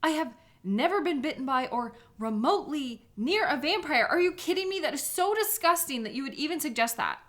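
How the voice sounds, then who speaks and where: Rattled female sketch artist for audio drama, acted by a woman: fairly high voice, agitated, emotional, on edge. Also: lively intonation, brisk, few pauses, fairly bright tone. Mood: indignant, upset.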